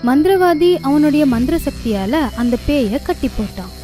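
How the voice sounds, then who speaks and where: Woman narrator, high, animated, storybook reading, music behind. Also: normal speed.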